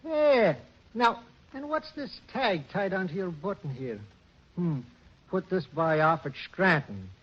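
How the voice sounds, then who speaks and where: Friendly folksy station master, high bouncy voice, chuckles, muffled old radio hiss. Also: male voice, animated, slow pace, slightly rough, full character voice. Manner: jovial, chuckling. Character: kindly folksy station master and shop man. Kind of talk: 1940s radio acting.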